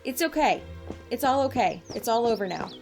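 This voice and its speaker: A woman's voice: fairly high pitch, very animated, a little fast, vocal fry. A woman playing a sincere, commanding leader with a plain-spoken, earnest manner in an audio drama. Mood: soothing. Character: sincere, commanding female leader with dry humour. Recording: music underneath.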